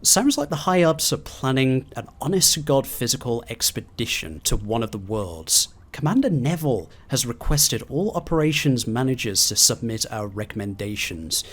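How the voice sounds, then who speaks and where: Expressive man with widely swinging pitch. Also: normal speed, hardly any pauses. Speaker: gaming video host. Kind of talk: commentary and dramatic reading of game documents.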